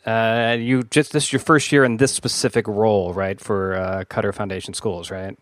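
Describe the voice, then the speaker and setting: A man's voice: fairly low pitch, steady tone, moderate pace, no room sound, studio quality. A man hosting an education podcast, asking rambling questions with restarts, then reading a promo. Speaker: podcast host and interviewer. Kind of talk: conversational interview, promo read.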